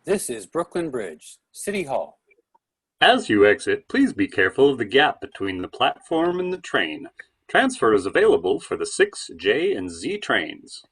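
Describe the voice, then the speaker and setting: Man acting automated transit voice, husky, very varied pitch, formal announcements, stage play reading. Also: very animated, moderate pace, a bit bright, dry recording. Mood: procedural. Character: automated male subway announcer, formal and procedural.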